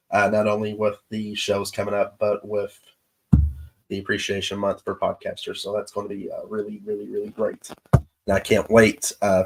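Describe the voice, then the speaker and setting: A man hosting his show's live stream, chatting casually with viewers in an easygoing, halting way, his voice stuffed up from allergies. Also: lowish voice, low-quality recording. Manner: easygoing, halting with many pauses. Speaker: show host. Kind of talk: casual livestream chat with viewers.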